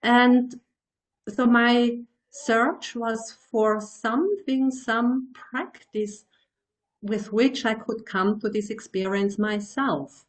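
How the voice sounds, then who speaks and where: A woman in her sixties from Switzerland, a meditation teacher answering interview questions in a thoughtful way, pausing to find her words. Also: fairly high pitch, steady tone, low-quality recording. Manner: slow, thoughtful, searching for words.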